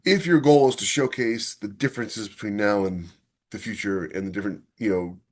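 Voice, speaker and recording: A man's voice: lowish voice, fairly quick. A man hosting a podcast in casual discussion, thinking aloud conversationally and often checking in with "you know". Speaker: podcast host.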